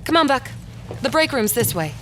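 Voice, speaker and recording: Capable woman, slightly high voice. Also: sing-song, normal speed, background noise. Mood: practical, chiding. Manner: coaxing, practical. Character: caring, capable small-town librarian woman, gently firm. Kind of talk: audio drama acting. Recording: music behind the voice.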